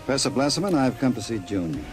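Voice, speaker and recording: An actor playing a suave, menacing American gangster in an old radio drama, with smooth mocking politeness. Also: male voice, medium pitch, big pitch swings, fast talker, natural voice. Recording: old radio recording, sounds behind. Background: game gunfire and music.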